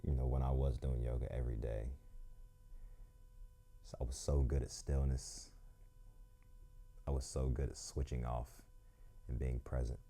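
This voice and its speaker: Male yoga teacher, croaky, very deep and mellow, reflective and unhurried. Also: little pitch movement. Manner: slow, reflective, thinks aloud. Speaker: yoga instructor. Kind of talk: calm guided yoga instruction with musing asides.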